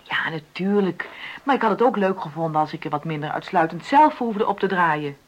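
A woman's voice: on the low side, expressive, a little fast, slight background noise, lightly acted. An actress playing a frustrated middle-aged wife in a radio play, insistently arguing for equality in her marriage. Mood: indignant, bitter. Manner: argumentative, insistent, reasoning. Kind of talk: naturalistic Dutch radio play dialogue.

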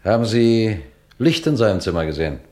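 Ein Schauspieler spielt in einem Krimihörspiel einen scharfsinnigen, befehlsgewohnten Kriminalrat, der bedächtig fragt und anordnet. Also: male voice, fairly low pitch, very animated, mellow. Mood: probing. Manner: commanding, measured, questioning. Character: authoritative, shrewd police detective superintendent, first-person narrator. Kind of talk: German radio crime play acting.

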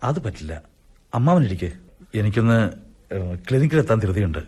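Radio drama husband: young, brusque and distrustful, lively swings of intonation. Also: male voice, lowish voice, brisk, husky. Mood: brisk, polite. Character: impatient, suspicious young husband working at a clinic.

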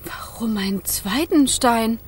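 A woman's voice: on the high side, takes it slowly, heavy background noise. An actress playing a wild, impatient young female fire spirit, playful and yearning, in theatrical children's radio play style. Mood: curious. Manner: theatrical, exclaiming.

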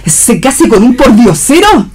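A woman plays an upper-class mother in a read radio play. She is outraged and protective, her highish voice very animated. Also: measured pace. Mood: indignant. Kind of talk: staged play reading for radio.

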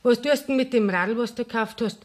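A woman playing a sharp-tongued, middle-aged working-class landlady with a Bavarian accent in a radio play. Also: fairly high pitch, fast talker, lightly acted. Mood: brusque. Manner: scolding, blunt. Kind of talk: 1970s German radio play acting in dialect.

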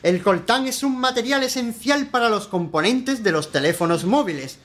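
A man's voice: very high voice, lively intonation, normal speed, a bit bright. A man acting a smugly sinister supermarket customer service agent in a Spanish radio play, mock-polite and officious, with an accent from Spain. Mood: smugly taunting.

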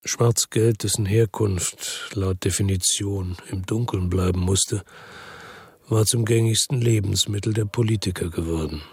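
An actor playing a world-weary, bitter crime investigator who narrates and talks tersely in a radio drama, with a gravelly, husky, deadpan voice. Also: male voice, lowish voice, measured pace, dry recording, heavily acted. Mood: grim, weary. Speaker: first-person narrator and lead character in a radio drama.

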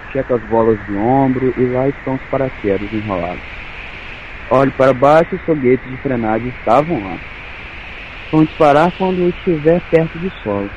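A man performs a cynical, nervous soldier narrating his own war in a radio play. He has a Brazilian accent, a medium-pitched voice and a sardonic, foul-mouthed colloquial manner. Clear engine noise and ambience surround him. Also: lo-fi sound, close to an everyday voice. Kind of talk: first-person audio drama narration.

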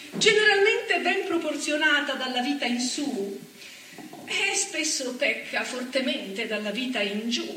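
A woman with a very high, lively voice delivers a mock etiquette lecture on stage, arch and teasing. She speaks slowly and brightly, and the recording has the roomy echo of a theatre heard from a distance. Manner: arch, playful, mocking. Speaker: stage actress. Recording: live stage recording with hall echo from far off.

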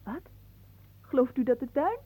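An actress playing a gentle, inquisitive young niece in a radio play, speaking with innocent attentiveness. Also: female voice, sits high, expressive, normal speed, phone-quality sound.

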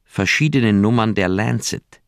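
An actor playing an earnest, insistent middle-aged doctor in a radio crime drama, speaking in an emphatic, persuasive way. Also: male voice, lowish voice, a little slow, creaky, smooth, no room sound, clean studio sound. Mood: eager, casual.

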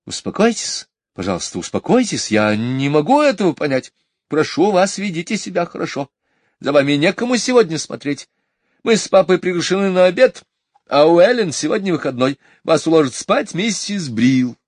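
A man voicing a fussy, indignant mother in a storybook reading, in a high, shrill falsetto. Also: sing-song, moderate pace, dry recording, studio quality. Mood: scolding. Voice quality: high falsetto, pitch far above his own. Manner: exclaiming, offended, scolding.

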